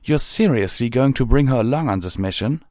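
A man's voice: lowish voice, animated, normal speed, a little mellow, dry recording, clean studio sound, pitched above the performer's own voice. A man voicing a fierce, proud female warrior pony with a scornful, grumbling manner in a storybook reading. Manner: hissing, muttering, grousing.

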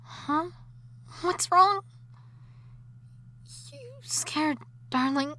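A woman voicing an obsessive, jealous wife who has come back from the dead, in an audio drama that moves between intimate whispering and shrill shouting. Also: a little slow. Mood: frantic, possessive. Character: obsessive jealous undead wife, possessive and unstable.

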